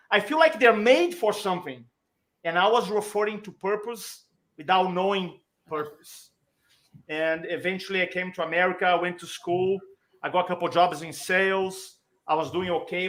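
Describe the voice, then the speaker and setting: A man's voice: sits high, fairly bright tone. A man from Brazil with a Brazilian accent and Portuguese as his first language, telling personal stories conversationally as a guest speaker and coach.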